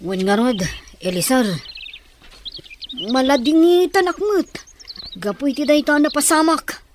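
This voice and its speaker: A woman's voice: sits high, animated, unhurried, a little hiss or hum. A woman playing a serious tribeswoman in conversation in an Ilocano drama film. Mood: grave.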